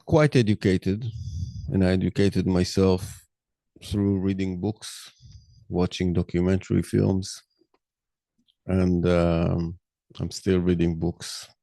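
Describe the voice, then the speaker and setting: A middle-aged Israeli man with a low, gravelly voice speaks English as a second language, slow and halting, full of ums and uhs. His tone is dark and mellow, and the sound is dry and close. He talks through his views in a loose personal monologue. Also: flat delivery, dry recording. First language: Hebrew. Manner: slow, halting, many fillers. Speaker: podcast host.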